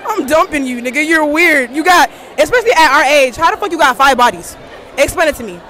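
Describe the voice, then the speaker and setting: Loud, blunt teen girl. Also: sits high, expressive, fast talker, some chatter behind, slight background noise. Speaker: interviewee. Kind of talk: street interview answers. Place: outdoor school courtyard. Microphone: handheld lavalier mic.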